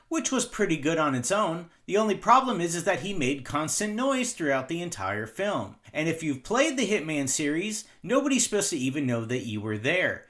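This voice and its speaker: Animated higher-pitched man, wry commentary. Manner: wry, sarcastic asides. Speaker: video essay host. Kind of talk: opinionated movie commentary, scripted narration.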